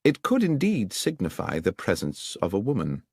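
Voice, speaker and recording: A man's voice: deep voice, wildly swooping pitch, normal speed, creaky, darkish tone, slightly rough, no echo, studio quality, full character voice. A man voicing a brilliant, coolly confident detective with a British accent and a precise, assured delivery in an audiobook. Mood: measured reasoning. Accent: British English.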